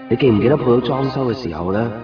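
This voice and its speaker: A man's voice: medium pitch, very fast, lightly acted. An actor playing a melancholy, lovesick man with a Hong Kong Cantonese accent, narrating wistfully in a radio drama. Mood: wistful longing. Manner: wistful, introspective. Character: lovesick, melancholy man pining for a missing woman. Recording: muffled 1990s radio drama, distant, music behind. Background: music.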